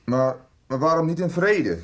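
A man's voice: fairly high pitch, expressive, unhurried. A man playing an embittered, defensive schoolteacher in a Dutch radio soap. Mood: guarded, weary. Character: embittered, defensive schoolteacher arguing with his brother. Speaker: radio drama actor.

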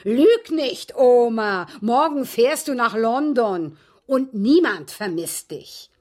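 A woman in a radio drama voicing a brash, rude young male intruder, loud and commanding. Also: on the high side, expressive, slow pace, voice pushed up. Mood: threatening. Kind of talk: quoted imitation in radio drama.

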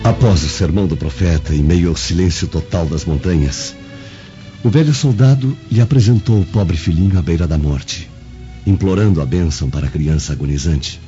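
Actor as a low, mellow, awestruck Roman. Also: male voice, normal speed, lo-fi sound, soft background music, close to an everyday voice. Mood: reverent. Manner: solemn, deferential storytelling. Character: earnest, awed Roman senator, humble and reflective. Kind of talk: radio drama acting.

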